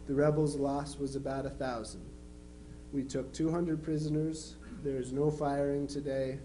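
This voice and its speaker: A man playing a young Civil War soldier son, reading letters home in a plain, measured, dutiful way. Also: mid-range voice, lightly acted. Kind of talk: dramatized reading of historical letters. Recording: noisy live recording with hum.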